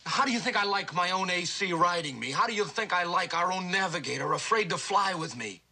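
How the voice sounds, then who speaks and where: An actor playing a troubled, self-doubting young Air Force pilot, earnest and brooding, in dramatic radio style. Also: male voice, sits high, lively intonation, fairly quick, sharp tone, exaggerated voice. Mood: agitated. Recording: old radio drama with music underneath.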